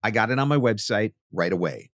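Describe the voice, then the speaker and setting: A man's voice: fairly low pitch, lively intonation, fairly quick, no room sound, clean studio sound. A man hosting a podcast, giving marketing advice in an emphatic, conversational way and speaking straight to the listener. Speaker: podcast host; voice actor.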